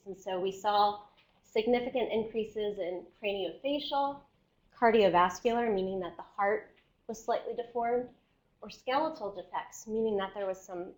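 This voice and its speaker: A woman's voice: medium pitch, darkish tone, low-quality recording. Woman scientist losing her voice. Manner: explanatory, often checks in with "right?". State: losing her voice, clearing her throat. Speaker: research scientist giving a seminar. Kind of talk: academic seminar lecture.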